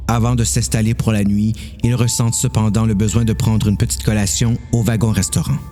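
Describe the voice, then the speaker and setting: Quebec french guy reading a story as narrator, lowish voice, bit of music under it. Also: little pitch movement, brisk, mellow. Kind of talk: scripted dramatic story narration.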